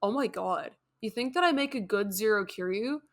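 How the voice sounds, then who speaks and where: A woman's voice: mid-range voice, animated, moderate pace, close to an everyday voice. A woman voicing a tearful, earnest male emo rock musician in a comedic story. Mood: touched. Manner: melodramatic, earnest.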